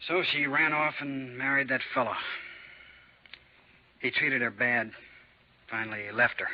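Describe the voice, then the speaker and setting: A man's voice: medium pitch, fairly even intonation, unhurried, natural voice. An American man playing a plain-spoken, protective small-town fellow in an earnest, homespun 1950s radio drama style. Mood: wistful. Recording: dull, noisy 1950s radio recording.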